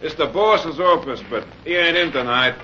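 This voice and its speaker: Casting: folksy, easygoing bartender in vintage radio drama; bright voice, dull and faintly noisy recording. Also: male voice, on the high side, moderate pace. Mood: easygoing, wry. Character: breezy, slangy pool-room bartender, amiable. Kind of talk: old-time radio drama acting.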